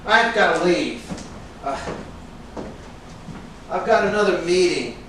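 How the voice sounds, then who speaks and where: In a very echoey room, far from the mic, an actor plays a brash male film agent with a high-pitched voice. He is pushy and emphatic, hectoring and excitable in a theatrical stage-reading style. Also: takes it slowly, heavily acted. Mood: firm, reassuring. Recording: echoey room, distant mic, background hiss.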